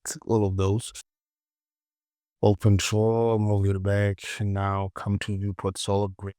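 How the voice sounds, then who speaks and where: Very deep, slow-talking man teaching software. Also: monotone, dry recording, clean studio sound. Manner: muttering to himself, short clipped instructions, repeats words. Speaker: 3D modeling tutorial presenter. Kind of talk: informal screen-recorded software tutorial.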